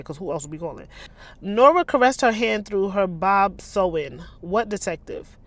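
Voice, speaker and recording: A woman author reading her own novel aloud, with sassy, incredulous, slangy commentary in a casual style. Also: mid-range voice.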